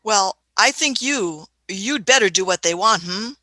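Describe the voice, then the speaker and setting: A woman's voice: medium pitch, animated, measured pace, pushed and bright, no echo. A woman playing an eccentric, authoritative scientist-adventurer in a radio play, fussy and stammering, with a condescending manner. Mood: reassuring.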